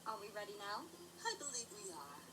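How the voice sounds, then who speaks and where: A woman's voice: medium pitch, very animated, a little fast, far from the mic, music in the background, natural voice. A woman playing a gracious, regal pony princess in an audio drama, polite and formal.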